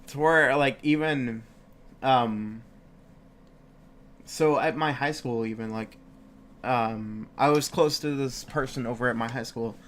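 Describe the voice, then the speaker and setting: A man's voice: mid-range voice, slow pace, pauses often, a bit bright. A young man co-hosting a podcast in casual chat, sniffly from a cold, rambling with lots of fillers and swearing. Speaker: podcast co-host. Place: home studio, live-streamed.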